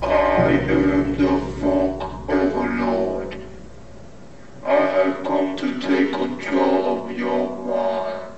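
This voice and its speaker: A man's voice: low voice, monotone, extremely slow, pauses often, no echo, dull audio. A man delivering a commanding, theatrical proclamation on a record, his voice run through a robotic vocoder. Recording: loud music over the voice.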